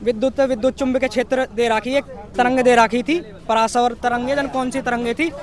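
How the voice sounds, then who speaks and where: A young man speaks in a very high voice with a western Uttar Pradesh accent. He answers informally as an exam candidate, halting and rephrasing as he recalls details. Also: steady tone. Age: young adult. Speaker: exam candidate being interviewed. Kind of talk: informal street interview recalling exam questions.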